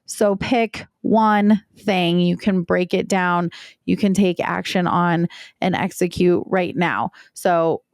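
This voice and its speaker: A woman co-hosting a business leadership podcast with a pitchy voice, chatty and playful. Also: slow pace, no room sound, clean studio sound. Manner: playful, chatty, laughs easily. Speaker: podcast co-host. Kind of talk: casual podcast chat.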